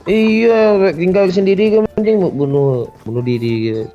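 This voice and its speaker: A man's voice: high voice, normal speed, highs cut off, faint music behind. A man in casual, joking squad chat while playing a mobile shooter, teasing his teammates. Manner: joking, teasing, playful crooning. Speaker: gamer.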